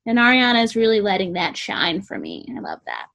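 A young woman with a sweet, squeaky voice, chatting casually about music and peppering her talk with "like". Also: wildly swooping pitch, fairly quick, creaky, fairly bright tone, highs cut off. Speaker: co-host reviewing music. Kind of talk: casual chat.

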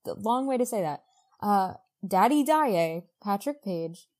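A woman's voice: mid-range voice, a little slow. A woman co-hosting a podcast, recapping a book in casual, exclamatory chat peppered with "like". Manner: animated, exclamatory, heavy use of "like". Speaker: podcast co-host.

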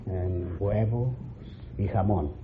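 A man's voice: low voice, slow pace, phone-quality sound. A man from Mexico, a native Spanish speaker with a Mexican accent, giving brief, earnest answers as a quiz contestant. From León, Guanajuato, Mexico. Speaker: restaurant chef, quiz show contestant. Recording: thin, noisy vintage radio recording.

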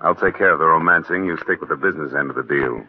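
A man's voice: extremely low pitch, fast, husky, lo-fi sound, exaggerated voice. An actor in a 1940s-style radio drama playing a scheming ladies' man, glib, condescending and scornful. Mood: smugly condescending. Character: cocky, scheming romancer con man, smooth-talking and scornful. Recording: band-limited vintage radio recording.

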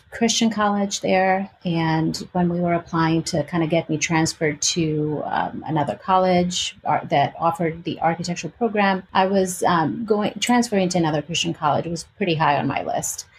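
A woman's voice: on the low side, normal speed, slight background noise, poor audio. A woman in her forties with a slight Albanian-Greek accent, casually telling personal stories as an interview guest. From Albania and Greece.

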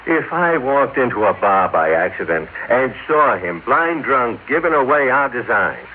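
A self-righteous, suspicious businessman who insists and accuses. His mid-pitched voice rises and falls sharply in classic radio-drama style. The recording is thin and tinny with some noise. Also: big pitch swings, measured pace. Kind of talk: old-time radio drama acting.

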